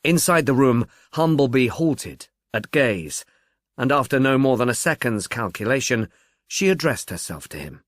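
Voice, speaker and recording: A man narrates literary fiction in a scripted audiobook style. His pitch is animated and mid-range, and the recording is dry with little room sound. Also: moderate pace, dry recording.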